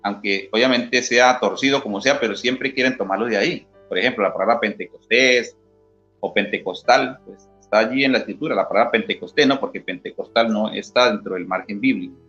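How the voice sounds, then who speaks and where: A man's voice: lowish voice, a little fast, fairly bright tone. A man from Colombia, an interview guest explaining Bible passages in a teacherly way and often checking in with "¿sí?". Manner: teacherly, reads scripture aloud, asks "¿sí?" often. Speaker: interview guest, former church member. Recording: dull lo-fi audio with faint guitar music.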